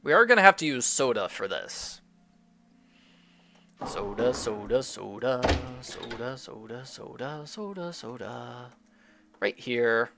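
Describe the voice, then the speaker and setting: Guy doing a casual game playthrough, jokey, thinks out loud, pitch goes all over. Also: animated, slow, vocal fry, slight background noise. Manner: jokey, musing aloud. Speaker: host of a game walkthrough.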